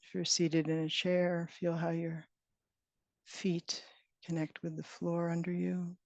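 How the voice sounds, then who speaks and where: A woman leading a guided meditation as a teacher, speaking in gentle, unhurried phrases with long pauses. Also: lowish voice, little pitch movement, dry recording. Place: online retreat session, close dry sound. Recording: dull, band-limited online call audio.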